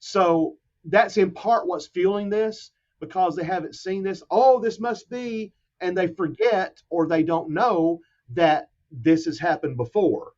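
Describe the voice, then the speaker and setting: A man in his fifties, speaking as a guest expert in a podcast discussion with a measured, explanatory manner. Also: high-pitched, darkish tone, highs cut off. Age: 50s.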